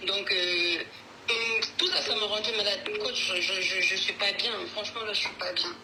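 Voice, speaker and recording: A young adult woman caller speaks quietly and hesitantly, sounding apologetic. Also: medium pitch, moderate pace, echoey room, noisy background.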